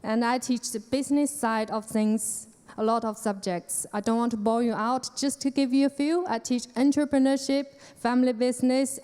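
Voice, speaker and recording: A woman's voice: on the high side, dark tone, clean tone. A woman from China, a business lecturer, introducing herself to students in a lighthearted way. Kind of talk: self-introduction speech. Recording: hall reverb.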